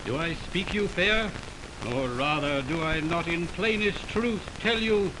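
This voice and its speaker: A man's voice: a little high, a little slow, close to an everyday voice. An actor playing a scornful young man with stern, declamatory diction in 1920s radio Shakespeare. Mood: scornful, impatient. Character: scornful young man rejecting an unwanted admirer. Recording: noisy, hissing 1929 radio aircheck.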